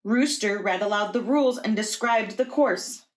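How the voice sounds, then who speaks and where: A woman's voice: mid-range voice, moderate pace. A woman reading a picture book aloud to young children as a preschool teacher.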